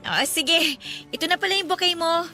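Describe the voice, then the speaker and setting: A woman's voice: extremely high pitch, moderate pace, sharp tone. A woman playing a modest, hardworking flower seller of twenty-six in a radio drama, with a Filipino accent. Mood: obliging.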